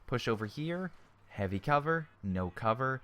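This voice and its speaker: A man's voice: mid-range voice, expressive, a little slow, fairly bright tone, a little hiss or hum. A young man with a sore throat giving casual, chatty commentary on a strategy game replay, often correcting himself mid-sentence and airing blunt opinions.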